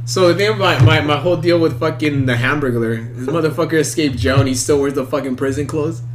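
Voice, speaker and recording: A man hosts a loose podcast chat with friends, cursing freely and laughing between his lines. He often stumbles and repeats words. Behind him runs a clear steady hum. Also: fairly high pitch, quick pace. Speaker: podcast host.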